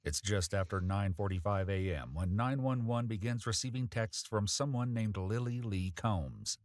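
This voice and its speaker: Low male voice, dry studio sound, scripted crime narration, police report style. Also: moderate pace, dry recording.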